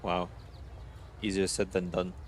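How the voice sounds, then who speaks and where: A man's voice: sits low, level tone, measured pace, slight background noise. A man giving casual live reactions as a game streamer, in laconic muttered asides. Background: game music.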